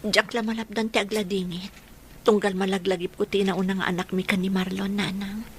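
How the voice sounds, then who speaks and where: A woman's voice: mid-range voice, level tone, normal speed, slight background noise, lightly acted. A woman playing an emotional, dutiful young wife and mother in an Ilocano radio drama, with an Ilocano accent. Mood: sorrowful.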